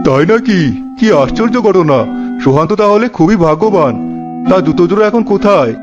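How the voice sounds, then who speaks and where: A man's voice: sits high, expressive, a little fast, highs cut off, music in the background. A man playing a greedy, envious uncle in a fairy-tale cartoon, wheedling with sweet, over-friendly affection. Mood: scheming, sweet.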